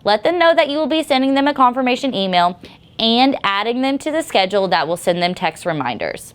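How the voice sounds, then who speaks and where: A young adult woman hosting a channel, presenting scripted, upbeat instructional tips in a clear voice. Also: medium pitch. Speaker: host of a business tips channel.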